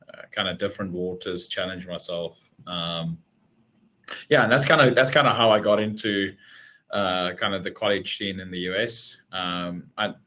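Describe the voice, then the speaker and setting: Deep-voiced young man, Afrikaans first language. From South Africa. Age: 20s. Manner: relaxed, many fillers and restarts. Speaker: guest, former college athlete. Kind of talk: casual webinar interview.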